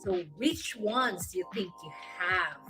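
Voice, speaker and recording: Slow, lively Filipino woman host over music. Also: fairly low pitch. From Philippines. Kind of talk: weekly inspirational talk segment.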